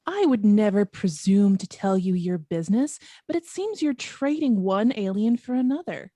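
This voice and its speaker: Woman as cool sceptical villain's associate, mellow tone, lively inflection, needling table-read delivery. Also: medium pitch, normal speed, no echo, lightly acted. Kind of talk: amateur script table read.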